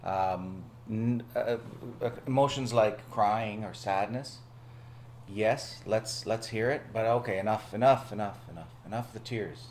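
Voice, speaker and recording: A man's voice: fairly low pitch, unhurried, a little mellow, slight background noise. A man who is a guest on a panel talk show, speaking in an enthusiastic way with some hesitant fillers. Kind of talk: casual panel discussion.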